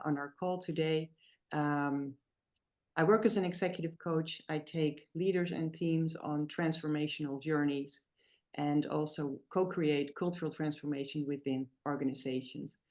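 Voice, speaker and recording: A woman from the Netherlands, an executive coach, introducing herself in a reflective way on a video call. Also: low-pitched. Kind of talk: online webinar introduction.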